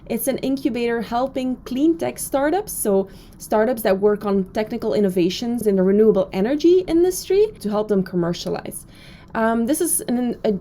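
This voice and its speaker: A woman's voice: fairly high pitch, mellow, slight background noise. A woman in her thirties from Belgium, with a Belgian accent, giving a chatty, upbeat recorded introduction to her online course from her office, with frequent fillers. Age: 30s. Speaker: professor.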